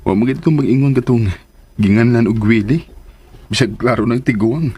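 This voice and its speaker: A man with a Cebuano accent playing a playful, sulky secret boyfriend in a radio drama, with a husky voice and an animated, teasing manner. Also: medium pitch, normal speed, lightly acted. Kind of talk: Filipino radio drama acting.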